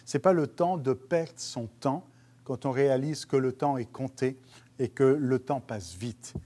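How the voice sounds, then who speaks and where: A man's voice: mid-range voice, a little slow, warm tone. A man, a pastor giving an earnest video teaching for home groups, often restarting his sentences, recorded on a lapel mic on a small studio stage. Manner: earnest, hesitant with many restarts and fillers. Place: studio stage with dark curtain.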